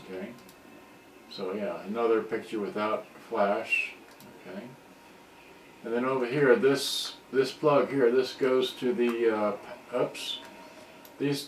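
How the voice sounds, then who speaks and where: A man giving casual, off-the-cuff commentary as a repair hobbyist, talking in a rambling, halting way. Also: mid-range voice. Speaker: electronics repair hobbyist presenting. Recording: roomy, distant mic, faint noise.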